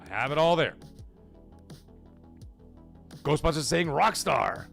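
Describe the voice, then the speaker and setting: A man's voice: a little high, lively intonation, takes it slowly, vocal fry, fairly bright tone, faint music behind. A man hosting a gaming livestream, rambling casually and answering viewers from the chat by name. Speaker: livestream gaming show host.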